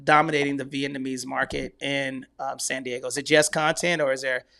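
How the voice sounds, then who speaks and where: A man's voice: mid-range voice, flat delivery, a little fast, gravelly, sharp tone. A man hosting a podcast interview, enthusiastic and speaking straight to listeners. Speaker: podcast host.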